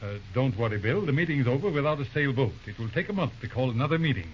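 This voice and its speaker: An actor playing a shrewd older American lawyer with dry, formal, courtly phrasing in an old-time radio drama. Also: male voice, medium pitch, animated, racing, a little hiss or hum, close to an everyday voice. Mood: amused, sly. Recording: dull, lo-fi old radio recording.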